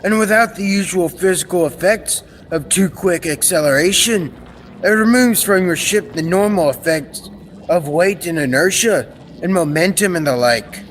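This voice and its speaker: A man's voice: sits high, moderate pace, a little hiss or hum, lightly acted, poor audio. A young man playing an earnest, formal inventor doctor in an old-time sci-fi radio serial. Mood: earnest, explaining. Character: earnest male scientist doctor, excitable inventor.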